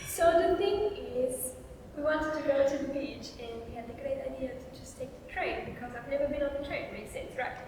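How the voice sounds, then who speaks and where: A woman's voice: sits high, brisk, a little mellow. A young woman with a Swiss accent, speaking English as a second language in light-hearted casual chat. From Switzerland. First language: not English. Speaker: guest. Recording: distant echoey room, faint noise.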